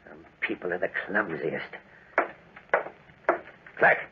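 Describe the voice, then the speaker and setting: Rude grumpy hotel guest, lowish voice, old radio drama, really noisy. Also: male voice, slow, lo-fi sound. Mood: gruff, irritated. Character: surly, rude, snapping male hotel guest. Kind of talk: 1940s radio acting.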